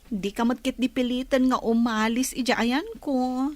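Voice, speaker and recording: A woman's voice: on the high side, steady tone, normal speed, darkish tone, dry recording, lightly acted. A woman playing a kindly godmother in a radio drama. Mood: hesitant, friendly.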